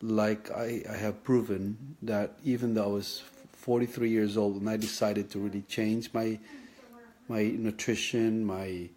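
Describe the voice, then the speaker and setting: Slow, halting man in his fifties. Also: lowish voice, flat delivery, darkish tone. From Philippines. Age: 50s. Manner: halting, thoughtful, many pauses and restarts. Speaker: vlogger sharing his kidney story. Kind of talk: reflective personal memoir.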